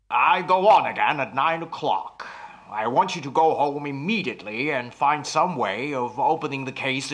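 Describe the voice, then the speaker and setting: A man playing a forceful, commanding stage mind reader. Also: mid-range voice. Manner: intense, directive. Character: commanding nightclub mind reader, urgent and serious. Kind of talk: radio thriller acting.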